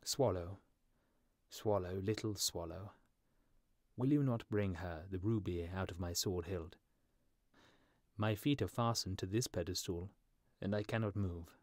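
A gentle storybook prince turned statue played by a man, speaking in a low, musical voice. He is mournful and softly pleading, recorded close and dry. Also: a little slow, pauses often, no room sound. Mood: pitying, pleading. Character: sorrowful gentle statue of a dead young prince.

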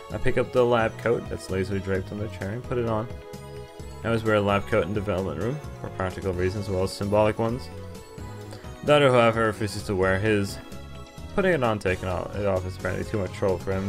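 A young man hosting a gaming video, reading on-screen story text aloud and adding casual comments. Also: lowish voice. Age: young adult. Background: game music.

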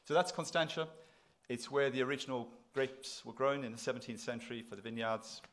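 A man's voice: mid-range voice, fairly even intonation, brisk, fairly bright tone. Middle-aged man giving a lecture. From South Africa. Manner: conversational, emphatic, repeating phrases for effect. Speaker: institution president giving inaugural address. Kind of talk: public lecture to an audience. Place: lecture hall, at a podium.

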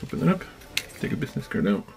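A man hosting a craft tutorial, talking informally and haltingly as he thinks aloud while working. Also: a little mellow, faint music behind. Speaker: DIY maker and video host. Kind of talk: casual hands-on tutorial narration. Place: indoor craft workbench.

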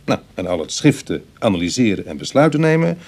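A man's voice: fairly low pitch, big pitch swings, brisk, darkish tone. An actor playing a self-deprecating ex-burglar in his thirties, talking dryly and colloquially in a Dutch radio play. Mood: uneasy, wry. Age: 30s.

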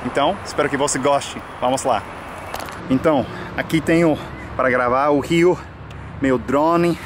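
A young American man, a native English speaker, chatting casually to the camera in a sunny park, relaxed with lots of fillers. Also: medium pitch, moderate pace. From United States. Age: young adult. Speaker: vlogger and English teacher. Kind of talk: casual outdoor vlog. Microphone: camera mic at arm's length. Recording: outdoor noise from traffic.

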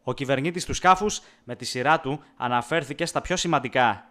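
A male TV reporter reading a scripted news voice-over in a smooth, even way. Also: mid-range voice, slight background noise.

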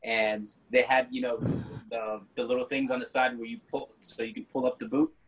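A man in his forties whose first language is Spanish, an artist telling stories on a video call from a room at home, unhurried and quick to laugh. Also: mid-range voice, slight background noise, rough recording. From born in the US, raised partly in Guatemala. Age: 40s. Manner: unhurried, laughs easily, expressive. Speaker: artist guest. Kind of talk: relaxed conversational storytelling. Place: home room with colorful paintings on the wall. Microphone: laptop webcam video call.

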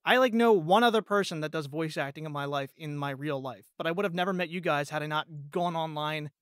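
A man's voice: a little high, brisk, a bit bright, clean tone, dry recording, clean studio sound. A man talking casually on a podcast as a guest, in an informal way peppered with "like" and "you know".

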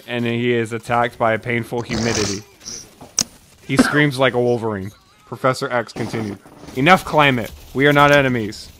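A man's voice: mid-range voice, a bit bright. Man reading scripts aloud, slight background noise. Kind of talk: live dramatic reading of absurd scripts. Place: dim room at home.

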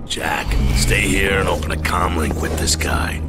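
An actor playing a gruff, battle-hardened squad leader in a video game, curt and commanding. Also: male voice, a little slow, over music. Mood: curt, dry. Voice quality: deep, gravelly. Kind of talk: video game cutscene acting.

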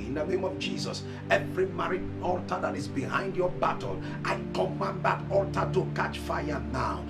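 High-voiced Nigerian preacher over music. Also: male voice, vocal fry. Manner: commanding, repetitive decrees. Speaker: evangelist. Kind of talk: preaching and declaring prayers. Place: indoor studio set. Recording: distant mic over backing music.

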